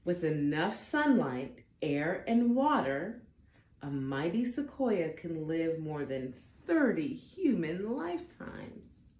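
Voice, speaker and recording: A woman reads a children's story aloud in a low, soft-edged voice. Her delivery is dramatic and deliberate, made for young listeners. The room adds a noticeable echo around her. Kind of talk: expressive read-aloud of a picture book.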